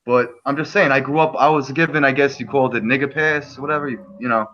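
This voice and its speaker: A man's voice: medium pitch, steady tone, fast, fairly bright tone, lo-fi sound. A man from New York chatting casually on a group livestream call, profane and rambling with lots of restarts. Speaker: livestream guest.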